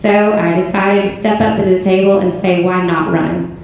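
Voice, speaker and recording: A hoarse woman in her forties with a Southern American accent, giving an earnest, self-assured campaign speech at a candidate forum. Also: mid-range voice, steady tone, moderate pace, darkish tone, a little hiss or hum. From Georgia, US. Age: 40s. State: hoarse, strained voice. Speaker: political candidate. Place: city auditorium. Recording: echoey hall, muffled sound.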